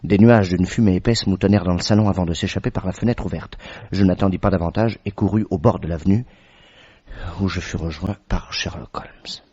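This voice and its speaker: A loyal detective's companion who narrates a radio mystery with dramatic verve. His voice is low and somewhat rough, on a dull old recording with murmuring people behind. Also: fairly quick, warm tone, no room sound. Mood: measured narration. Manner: vivid, dramatic storytelling.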